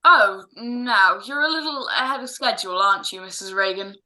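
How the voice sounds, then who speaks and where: A woman's voice: mid-range voice, normal speed, bright, forceful tone, poor audio. A woman playing a polite, composed family doctor in a radio drama, soothing and a little hesitant. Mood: polite, brisk. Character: composed, reassuring family doctor on a house call. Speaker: amateur radio drama actor.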